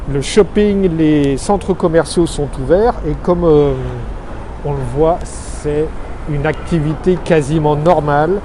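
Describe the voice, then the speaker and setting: A middle-aged man from Strasbourg in France giving an earnest, explanatory video update in short, deliberate phrases, recorded outdoors on a street. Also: on the high side, dark tone. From Strasbourg, Alsace, France. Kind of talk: informal spoken video report, explanatory. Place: outdoors on a busy city street corner. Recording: outdoor street noise, passing traffic. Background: faint urban traffic ambience.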